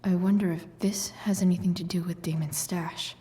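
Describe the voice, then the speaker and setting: An actress voicing a tough, guarded, foul-mouthed teenage girl in a video game, with a husky voice and muttered, halting delivery. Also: lowish voice, steady tone, warm tone, a little hiss or hum, close to an everyday voice. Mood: muttering, suspicious.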